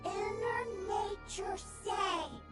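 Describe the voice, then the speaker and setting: A woman voicing a small, simple, earnest game creature with squeaky, clipped, telegraphic speech. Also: extremely slow, exaggerated voice. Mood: matter-of-fact. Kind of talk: fantasy game creature acting. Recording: distant, echoey, loud music behind.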